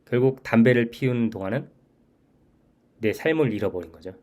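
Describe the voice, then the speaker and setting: A man's voice: mid-range voice, brisk, a little mellow. A man in his forties talking through his own experience in a reflective monologue, earnest and repeating his key points, often restarting sentences. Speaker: channel host.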